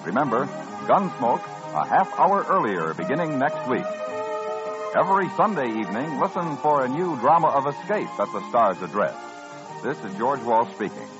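A man's voice: mid-range voice, very animated, moderate pace. An American man announcing in formal, emphatic 1950s network radio style. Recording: muffled old radio broadcast, faint music.

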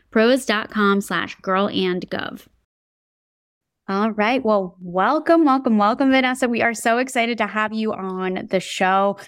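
A woman's voice: medium pitch, dry recording. Bubbly woman podcast host, dry sound. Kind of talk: upbeat ad read and interview hosting.

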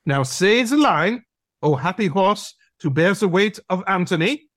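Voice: medium pitch, animated, unhurried, a bit bright, no echo, studio quality. A performer playing a pompous, lecherous male dog who teaches acting, with theatrical, commanding delivery in an audio play. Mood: urging, excited.

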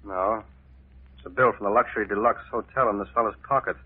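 An actor playing a suave, brisk detective, in 1940s radio acting. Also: male voice, lowish voice, fairly even intonation, breathy edge. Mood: breezy. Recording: lo-fi old radio broadcast.

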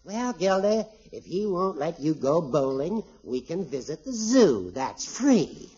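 Genial needling judge, high pitch, animated, 1950s radio comedy, muffled recording. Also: male voice, a little slow. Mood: teasing. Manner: teasing, animated. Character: genial, needling male judge, teasing old crony.

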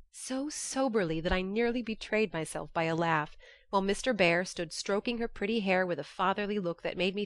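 A woman audiobook narrator giving an expressive first-person reading of literary fiction. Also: mid-range voice, dry recording.

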